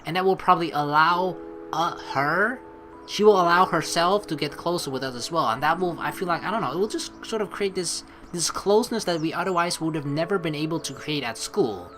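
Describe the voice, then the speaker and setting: A woman's voice: fairly low pitch, animated, a little fast, a bit bright, slight background noise. A woman doing casual, playful gaming commentary while reading a story game's narration aloud. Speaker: let's play streamer. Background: game music.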